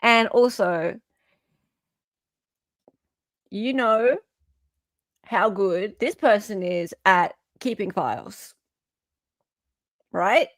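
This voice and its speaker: Australian female livestream host, mid-pitched, casual but emphatic, repeats key phrases, close dry sound. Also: expressive, takes it slowly, pauses often, dry recording. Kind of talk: casual live chat commentary.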